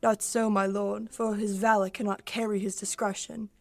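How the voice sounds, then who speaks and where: A woman's voice: medium pitch, flat delivery, normal speed, creaky, darkish tone, dry recording, faint music behind, natural voice. A young woman playing a witty courtier in a radio play of Shakespeare, teasing in formal banter.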